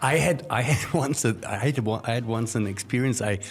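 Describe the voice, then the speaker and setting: A man's voice: medium pitch, a little fast, music in the background. A man from Germany hosting a podcast in English with a German accent. He is hesitant and uses many ums and restarts. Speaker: podcast host. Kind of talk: casual conversational interview.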